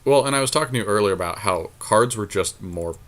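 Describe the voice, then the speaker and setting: Man, lowish pitch, casual game commentary, bantering, gamer slang. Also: lively intonation, quick pace, a bit bright. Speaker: podcast co-host playing a card game.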